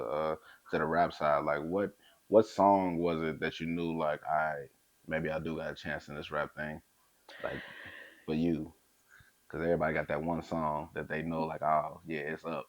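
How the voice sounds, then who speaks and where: Deep-voiced easygoing male interviewer. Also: no echo. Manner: easygoing, lots of fillers and short laughs. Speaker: interviewer, host. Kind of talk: casual interview.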